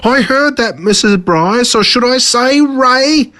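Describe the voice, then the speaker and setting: Guy doing a smug evil villain for a kids story, high voice, pompous n dramatic, loves to gloat. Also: expressive, takes it slowly, pushed and bright, heavily acted. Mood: indignant, boastful. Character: smug, menacing, theatrical villain man commanding ants.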